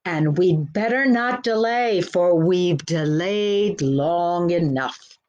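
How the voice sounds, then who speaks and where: Storybook leprechaun leader, male played by a woman reader: impish, coaxing, bossy, slow delivery. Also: lowish voice, a little mellow, muffled recording. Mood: playful excuse. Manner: cajoling, storybook dialogue. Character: mischievous male leprechaun ringleader, playful and bossy.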